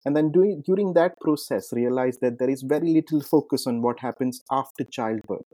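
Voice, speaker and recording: A man from India, a clinician answering interview questions in a measured way, with frequent ums and uhs. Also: mid-range voice, mellow, no room sound. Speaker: clinician guest.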